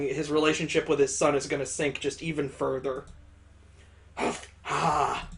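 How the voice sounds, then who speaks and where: A let's play host reading a visual novel aloud and giving joking, sweary commentary, prone to loud reactions and laughter. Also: medium pitch.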